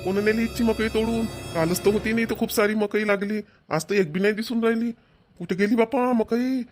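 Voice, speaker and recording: A man's voice: high voice, steady tone, a little fast, soft background music, close to an everyday voice. A man voicing a worried, grumbling farmer husband in a village cartoon. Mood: baffled, upset. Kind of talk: Marathi village cartoon comedy dialogue.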